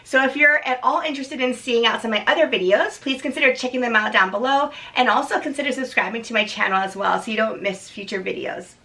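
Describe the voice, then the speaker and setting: A hoarse woman in her thirties talks her viewers through an unboxing, relaxed and chatty with plenty of "um"s. Her voice is bright and slightly high, with a gravelly edge. She sits a bit back from the microphone. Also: fast, few pauses, recorded from a distance. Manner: chatty, many restarts and "um"s. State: hoarse from a cold. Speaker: vlogger. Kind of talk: casual unboxing vlog.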